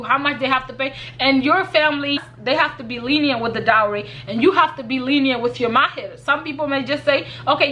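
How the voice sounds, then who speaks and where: A woman's voice: high voice, pushed and bright, dull audio. A young woman from Kenya giving earnest, casual advice on her vlog, repeating points and laughing lightly. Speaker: YouTuber.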